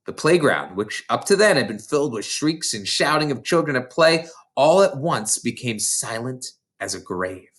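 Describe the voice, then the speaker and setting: An expressive storybook narrator with a highly animated delivery. The reading is dramatic, swinging widely in pitch as the tale is told. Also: normal speed, few pauses. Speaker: storybook reader. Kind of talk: expressive read-aloud narration of a children's novel.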